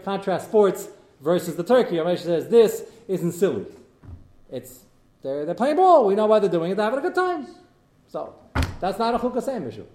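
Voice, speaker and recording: A man teaching a Torah class in a lively way, reading out Hebrew texts and explaining them to students. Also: sits high, roomy sound. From United States.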